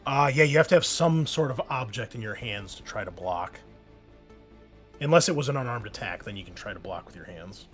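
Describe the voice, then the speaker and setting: A man's voice: on the low side, animated, brisk, a bit bright, faint music behind. A man acting as game master of a tabletop roleplaying game, narrating scenes and explaining the rules conversationally.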